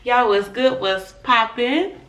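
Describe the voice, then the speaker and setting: Hoarse, slow-talking woman in an echoey room. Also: fairly high pitch, a bit bright. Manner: laid-back, talks to viewers as y'all between bites. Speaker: mukbang host. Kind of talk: casual eating-show chat.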